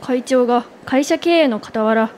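Woman playing a high-voiced, formal female reporter. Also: unhurried, darkish tone, a little hiss or hum, natural voice. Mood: inquisitive. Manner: formal, inquisitive. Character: brisk, polite female reporter interviewing a tycoon. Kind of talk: Japanese radio drama acting.

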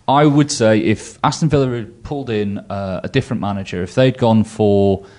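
A man's voice: fairly low pitch, moderate pace, a little mellow. A man in a casual football chat, blunt and opinionated, often restarting his sentences as he rambles.

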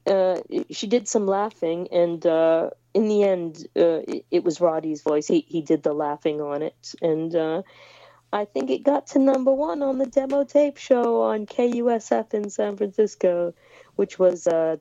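Relaxed woman on a lo-fi call. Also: fairly low pitch, a little slow, no echo. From United States. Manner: hesitant, many restarts and fillers. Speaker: musician guest. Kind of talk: casual interview chat over a remote call. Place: home in England.

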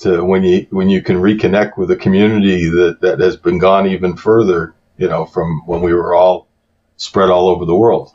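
A man with a fairly low voice tells stories and explains things at an easy pace, like a longtime teacher on a podcast. The sound is a bit dull and muffled. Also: level tone, normal speed, darkish tone, husky. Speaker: podcast guest, Hebrew teacher. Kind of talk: casual podcast conversation.

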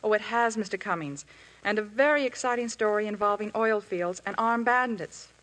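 A woman's voice: medium pitch, moderate pace, a bit bright, poor audio. A young woman, an actress guest, chatting politely and earnestly in a scripted exchange. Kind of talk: scripted intermission chat.

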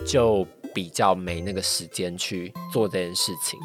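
Very low-voiced Taiwanese woman guest. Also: fairly even intonation, moderate pace. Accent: Taiwanese Mandarin. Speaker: podcast guest. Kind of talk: casual chat. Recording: music playing underneath.

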